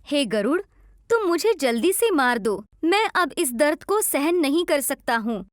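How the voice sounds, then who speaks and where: Woman voicing a high, pleading little pigeon. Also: sing-song, measured pace, dry recording, clean studio sound. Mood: pained pleading. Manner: earnest, pleading. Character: small young male pigeon, suffering, pleading, cunning.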